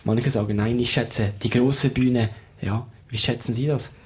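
A man's voice: medium pitch, steady tone, unhurried, a little mellow. A man hosting a radio interview, asking polite, formal, wordy questions. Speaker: radio show host.

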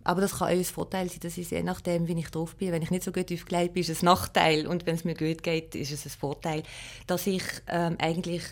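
Woman, somewhat low voice, musician guest, reflective, matter-of-fact, conversational interview answers. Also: little pitch movement, fast. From Belgium and Luxembourg. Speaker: musician interview guest, piano and singing teacher.

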